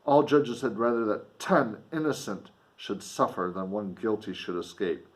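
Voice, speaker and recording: A man voicing a bitter, anguished young gentleman in a gothic novel, with grave, formal speech. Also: on the low side, lively intonation, measured pace. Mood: bitter resignation.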